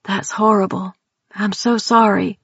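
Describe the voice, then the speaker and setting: A woman voicing a caring, anxious young woman who speaks in a gentle, reassuring way. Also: mid-range voice, steady tone, measured pace, vocal fry, slightly rough, dry recording, voice pushed up, heavily acted. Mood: concerned. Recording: dull dry audio.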